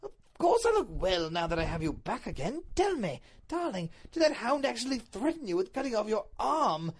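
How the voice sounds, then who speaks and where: A woman's voice: a little high, big pitch swings, normal speed, breathy edge, pitched above the performer's own voice, full character voice. A woman voicing a bewildered, indignant but doting duke in a storybook reading, with a pompous, emphatic, melodramatic delivery. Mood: aggrieved.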